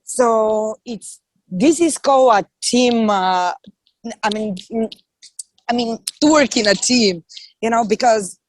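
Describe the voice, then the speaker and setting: A woman with a Bulgarian accent, chatting in English as a guest actress and puppeteer, restarting her sentences, her voice a little worn and low from doing voices. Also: slow pace, a little hiss or hum, low-quality recording. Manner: chatty, restarts sentences, non-native phrasing. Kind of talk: casual video-call conversation.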